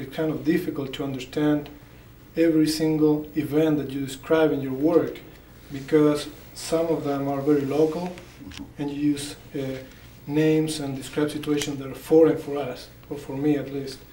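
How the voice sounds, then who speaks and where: A man from Chile with a fairly high, mellow voice asks questions hesitantly, searching for his words. He sounds distant from the mic in an echoey room, with faint noise behind him. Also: steady tone, normal speed. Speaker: panelist. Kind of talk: asking questions at a panel discussion.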